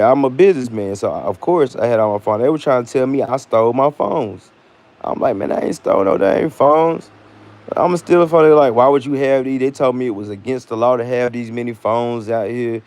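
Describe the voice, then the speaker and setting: American guy doing a casual storytime vlog, lots of slang, acts out the dialogue, medium pitch. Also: brisk. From United States. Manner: animated retelling with slang and acted-out dialogue. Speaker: vlogger.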